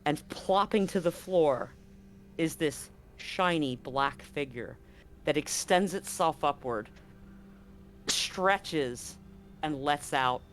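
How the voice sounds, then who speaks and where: A game master narrating a tabletop horror game in a dramatic, suspenseful way, with deliberate pauses. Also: mid-range voice, animated, a little hiss or hum.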